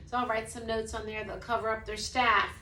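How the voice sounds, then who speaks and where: Brief: woman music teacher, high bright voice, casual, room echo. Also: level tone, brisk, a little hiss or hum. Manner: demonstrates by singing snippets, then speaks casually; says she has a low voice. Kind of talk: explaining a preschool music lesson.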